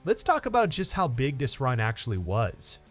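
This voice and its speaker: A man's voice: mid-range voice, wildly swooping pitch, normal speed. A man narrating scripted motorsport news in an upbeat, emphatic presenter style. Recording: music under the voice. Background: background music.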